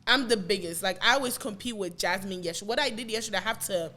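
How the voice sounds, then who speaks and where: A woman's voice: medium pitch, pushed and bright. Woman, late 20s, chatty and open, keeps restarting her thoughts. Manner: candid, talks in quick bursts with restarts. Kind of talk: casual discussion.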